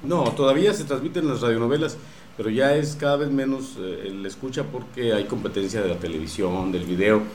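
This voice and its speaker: A man with a Mexican accent explaining things in an interview, pausing often to search for words. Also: medium pitch, darkish tone. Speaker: radio drama producer at a university station. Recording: roomy echo with faint background noise.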